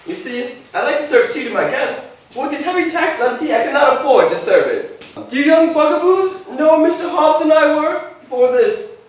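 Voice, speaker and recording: An actor playing an excitable, rebellious colonial innkeeper on stage, declaiming in old-fashioned phrases. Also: male voice, very high voice, moderate pace. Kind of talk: live children's stage play acting. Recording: echoey hall, distant microphone.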